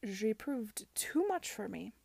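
A woman voicing a bitter, defensive young gentleman in an audiobook, confessing in a halting, grudging way. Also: medium pitch, very animated, creaky, husky, dry recording, exaggerated voice. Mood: ashamed. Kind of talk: audiobook character dialogue.

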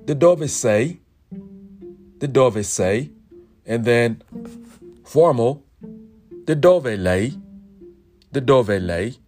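A man hosting a podcast lesson, slowly saying everyday phrases and repeating each one twice. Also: medium pitch, darkish tone. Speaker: podcast host teaching Italian phrases. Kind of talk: solo language lesson podcast.

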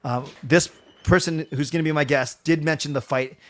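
A middle-aged man with a loud voice hosting a casual hockey livestream, rambling with fillers and restarts. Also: on the high side, steady tone, normal speed. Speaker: livestream host.

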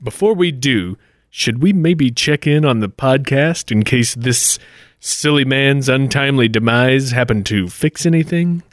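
A man's voice: medium pitch, lively intonation, unhurried, dry recording, clean studio sound, lightly acted. A man playing an earnest, cautious time-travelling investigator with folksy politeness in a 1940s-style radio play. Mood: dry, cautious.

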